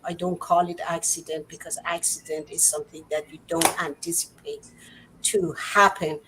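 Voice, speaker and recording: A woman from Iran with an Iranian accent, speaking as a panelist on a video call in a measured, earnest, lecture-like way. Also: lowish voice, a little hiss or hum, poor audio.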